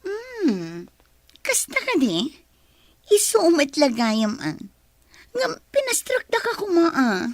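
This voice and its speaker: A greedy, impatient female schemer in a radio drama. The lines come slowly and drawn out, high in pitch, with dramatic swoops. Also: wildly swooping pitch, no echo, studio quality, heavily acted. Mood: impatient, petulant. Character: impatient, greedy woman conspiring with her lover.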